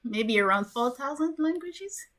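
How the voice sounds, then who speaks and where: A woman hosting an interview, curious and enthusiastic with quick reactions. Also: high-pitched, animated, fairly bright tone. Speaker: podcast host.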